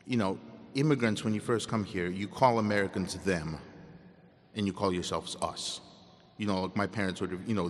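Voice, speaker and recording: A young man with a Russian accent speaks in a fairly low voice, taking questions in an unscripted, candid way. He often stops mid-thought and restarts. A long reverb rings around him in the big hall. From Ukraine. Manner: candid, self-interrupting, conversational. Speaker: guest speaker. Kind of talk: Q and A at a convocation. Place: large hall.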